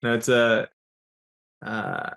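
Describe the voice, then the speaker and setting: A man in his forties whose first language is Serbian, speaking at an ordinary mid pitch. He gives unhurried business interview answers and explains things step by step. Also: huge pitch swings, creaky, a bit bright. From Yugoslavia. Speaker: founder of an IT services company, interview guest.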